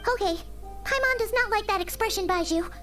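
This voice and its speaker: A woman voicing a small, childlike fairy companion in a video game, excitable and caring, with a squeaky voice and music behind her. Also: brisk. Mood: worried. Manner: refers to self in third person, animated.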